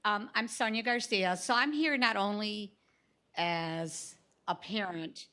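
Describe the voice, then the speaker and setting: A woman speaking loudly and earnestly at a public forum as a parent, pausing often with "um". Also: medium pitch, clean tone. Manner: loud, earnest, with frequent "um" hesitations. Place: conference hall. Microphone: handheld microphone.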